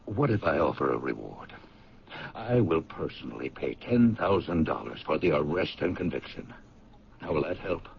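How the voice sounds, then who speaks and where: A man's voice: a bass voice, sing-song, moderate pace, vocal fry, slight background noise, exaggerated voice. An actor playing a proud paint company owner in a 1970s radio drama, talking with a boastful salesman's patter. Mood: uneasy. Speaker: factory owner. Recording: dull lo-fi 1970s radio recording.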